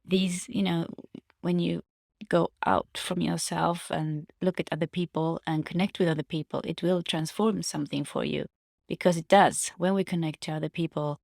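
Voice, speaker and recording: A woman giving a casual, reflective personal monologue to viewers, halting as she searches for words and restates her phrases. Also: on the low side, steady tone, gravelly, a little mellow, no room sound, studio quality. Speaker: vlogger sharing personal reflections.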